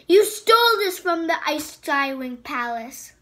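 A woman's voice: sits high, slow pace, fairly bright tone. A woman voicing a scheming, venomous female sand dragon queen who hisses and mocks, reading a storybook aloud. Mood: hissing. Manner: menacing, mocking, hissing taunts. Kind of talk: storybook read-aloud voice acting.

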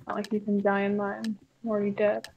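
A woman's voice: medium pitch, completely flat delivery, a little fast, creaky, narrow, tinny audio, slight background noise, close to an everyday voice. A woman playing a weary, dry-witted dead puppet in an improvised game role-play, speaking curtly. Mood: weary sarcasm.

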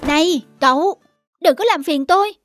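Gloomy high-voiced cartoon dog. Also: female voice, normal speed, no room sound, clean studio sound, faint music behind. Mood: irritated. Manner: sullen, self-pitying. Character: gloomy, prickly blind dog. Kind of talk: dubbed cartoon acting.

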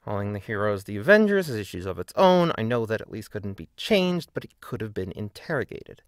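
A man's voice: on the low side, big pitch swings, a little fast, dry recording, clean studio sound. A man narrating a scripted video essay in a critical, emphatic, argumentative way.

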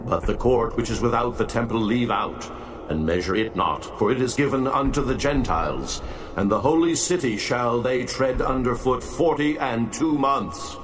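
A man's voice: medium pitch, lively intonation, moderate pace, slight background noise, natural voice. A man voicing a grave, distant angel. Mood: commanding. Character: solemn male angel speaking from heaven. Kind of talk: dramatized scripture reading. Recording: distant voice over music.

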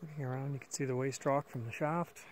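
A man's voice: medium pitch, steady tone, fast, a little hiss or hum. A man filming and casually narrating a walk through an old mine site outdoors, thinking aloud with fillers on a camera mic. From Canada. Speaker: mine explorer filming a walkthrough.

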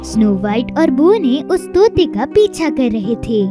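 Female narrator for children's fairy tales, high and expressive, over clear music.

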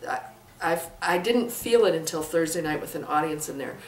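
A middle-aged woman with a low voice talks casually as an interviewed director. She sits in a small, echoey room, picked up a little way from the mic. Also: lively intonation, normal speed. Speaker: theatre director being interviewed. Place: small room with bookshelves, indoors. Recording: roomy, distant mic, faint hiss.

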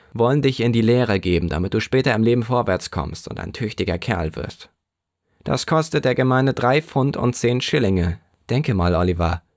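A man's voice: on the low side, vocal fry, no echo, clean studio sound, voice pushed up. A man voicing a pompous, self-satisfied parish beadle lecturing an orphan boy in an audiobook. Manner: pompous, self-important, threatening.

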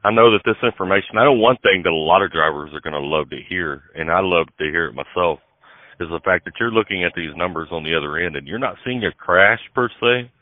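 A man's voice: low voice, fast talker, no echo. A man hosting a casual recorded phone conversation, chatting in a folksy, chummy way over a phone line. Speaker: podcast host interviewing a guest. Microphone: phone call. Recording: dull, band-limited phone call recording.

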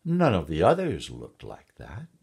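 A man's voice: low voice, huge pitch swings, unhurried, creaky, darkish tone, breathy edge, exaggerated voice. A man voicing a fussy, impatient mother duck in a storybook tale, exclaiming in exasperation. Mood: startled dismay.

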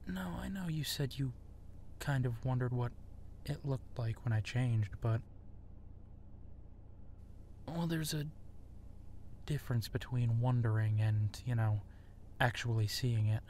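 A man playing an apologetic, self-doubting werewolf in human form in a roleplay audio drama, speaking softly and hesitantly. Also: mid-range voice, unhurried, long pauses, slight background noise, lightly acted. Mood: hesitant, sheepish. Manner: hesitant, soft-spoken, sheepish.

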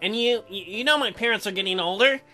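A man voicing an earnest, halting young farmer in love in a visual novel. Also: high-pitched, bright, forceful tone, faint music behind. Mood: earnest pleading. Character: earnest young farm man, dreamy lover.

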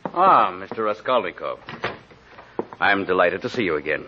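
An actor playing a sly, patient police inspector in an old-time radio drama, needling with drawn-out, feigned casualness. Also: male voice, sits low, lively intonation, slight background noise. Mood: feigned innocence. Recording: dull, lo-fi old radio recording.

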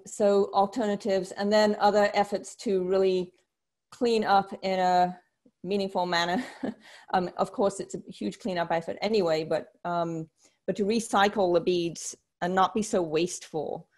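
A woman in her sixties explaining informally as a panelist on a video call, often pausing mid-sentence. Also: medium pitch, darkish tone. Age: 60s. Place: room at home, on a video call. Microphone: wired earphone mic.

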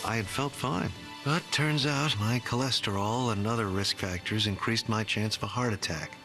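A man's voice: on the low side, moderate pace, over music. A man in his fifties giving a reflective, regretful testimonial in a commercial. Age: 50s.

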